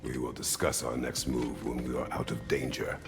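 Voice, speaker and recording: An actor playing a stern, commanding, world-weary warrior god and father in a video game, speaking tersely with a gravelly rumble. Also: level tone. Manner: terse, slow and weighty. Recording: loud game music over the voice.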